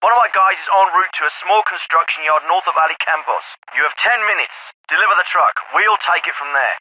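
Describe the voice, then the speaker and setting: A man's voice: on the high side, fairly quick, pushed and bright, no room sound, lo-fi sound. A man with a British accent voicing a terse, calculating special forces officer in a video game, clipped and authoritative, through a radio filter. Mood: brisk, businesslike. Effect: radio filter on many lines. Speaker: squad commander giving orders.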